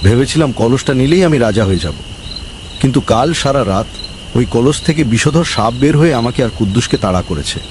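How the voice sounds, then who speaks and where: A man acts out a greedy, bossy village councilman from a comic cartoon, cowardly underneath his pomp. He speaks mid-pitched, with melodramatic exclamations. Clear noise and night insects sit behind him. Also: expressive, brisk, lightly acted. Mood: remorseful. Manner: pompous, exclamatory, pleading when frightened.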